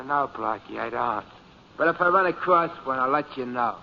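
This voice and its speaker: An actor playing a cheeky working-class mechanic in a 1940s radio drama, slangy but fond of mangled fancy words. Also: male voice, medium pitch, animated, normal speed, vocal fry. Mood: evasive. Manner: slangy, mock-refined word choices. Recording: dull, noisy 1940s radio recording.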